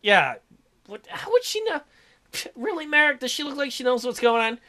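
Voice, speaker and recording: A man's voice: very high voice, very animated, moderate pace, bright, forceful tone. A man reading a visual novel aloud as a gaming host, breaking in with crude, mocking jokes. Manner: irreverent, crude joking, mocking. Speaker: gaming video host, let's player.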